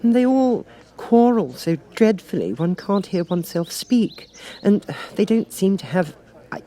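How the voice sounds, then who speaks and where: Man doing a high-pitched, prim, plaintive storybook girl. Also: very animated, a little slow, warm tone. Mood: plaintive complaint. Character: earnest, polite, complaining little storybook girl.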